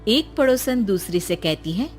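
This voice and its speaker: A woman narrating a cartoon story in an even, storybook tone. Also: medium pitch, normal speed, soft background music.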